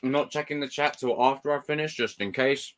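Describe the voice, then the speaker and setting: Croaky nervous streamer man. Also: mid-range voice, a little fast. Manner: nervous, swearing, pleading. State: croaky morning voice. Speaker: streamer hosting a watch party. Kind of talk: live reaction commentary.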